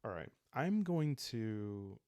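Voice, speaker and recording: A man hosting a solo roleplay game playthrough, calm and soft-spoken, improvising the story and thinking aloud as he goes. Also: fairly low pitch, slow pace, clear, resonant tone, no room sound. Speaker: host playing a solo journaling roleplay game.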